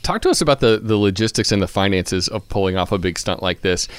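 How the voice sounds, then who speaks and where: Male co-host, quick talker, slightly low pitch, casual interviewing style. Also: lively intonation, clear, resonant tone, no echo. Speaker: podcast co-host.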